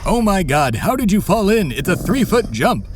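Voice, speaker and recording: A man's voice: high voice, big pitch swings, moderate pace, very noisy. A man playing a blithely unbothered, theatrical immortal guide in a comedy audio drama, grandiose and breezy like an announcer. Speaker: podcast host.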